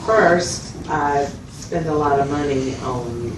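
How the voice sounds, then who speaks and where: A woman playing a plain-spoken mental health client who gives brief, halting answers in a dramatized consultation. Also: sits low. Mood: hesitant, plain. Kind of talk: role-played consultation, short halting answers. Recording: echoey room, distant mic, clear noise.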